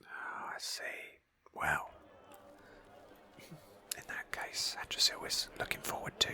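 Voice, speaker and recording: A woman speaking in a hushed, breathy whisper close to the microphone, softly narrating a video game roleplay as an ASMR host. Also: slow.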